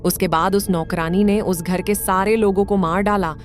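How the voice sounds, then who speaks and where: A woman's voice: medium pitch, fairly even intonation, normal speed, soft background music, close to an everyday voice. A woman voicing a neighbour telling a ghost tale. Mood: ominous. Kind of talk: cartoon voice acting.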